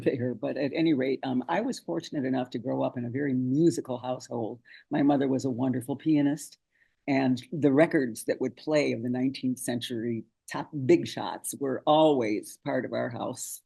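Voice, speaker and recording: A man's voice: mid-range voice, gravelly, dark tone, husky. A man speaking informally over a video call as a poet introducing and reading a sonnet.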